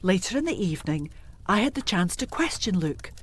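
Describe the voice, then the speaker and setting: A woman playing a deferential, easily shocked older housekeeper in a gothic audio drama, with a husky, halting, emphatic delivery. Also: fairly low pitch, very animated. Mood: grave, confiding. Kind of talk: audio drama acting of Victorian gothic ghost story.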